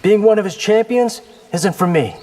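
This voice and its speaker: An actor voicing a confident young warrior in a fighting video game, cocky, wry and determined. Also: male voice, sits high, normal speed, warm tone, big-room sound, natural voice. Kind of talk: video game character acting.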